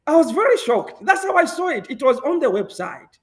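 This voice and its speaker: A young man from Zambia, speaking as an interview guest, animated and emphatic, laughing often and repeating himself for emphasis. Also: very high voice, brisk. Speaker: guest, public health professional. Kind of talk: conversational interview answers.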